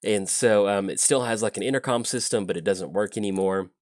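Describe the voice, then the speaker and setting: A man with a low, slightly raspy voice from a chest cold narrates his card game in a relaxed, rambling way. He thinks aloud, trails off and restarts, and keeps it chatty. The sound is close and dry, with no room behind him. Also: brisk, no echo. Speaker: YouTube gameplay commentator. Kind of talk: casual gameplay commentary.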